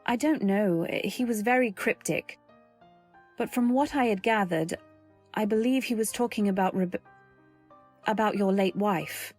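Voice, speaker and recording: A woman's voice: mid-range voice, a little slow, pauses often, soft background music. Earnest woman narrating a radio drama. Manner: earnest first-person storytelling, anxious and gentle. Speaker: narrator and actor. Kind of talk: 1940s radio acting.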